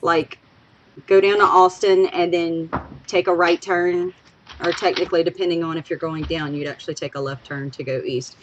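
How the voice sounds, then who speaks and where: A woman's voice: on the low side, fairly even intonation, moderate pace, highs cut off, slight background noise. A woman from Louisiana with a Southern accent, co-hosting a casual livestream, rambling chattily and a bit tipsy. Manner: chatty, rambling, giggly asides. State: tipsy from drinking. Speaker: podcast co-host.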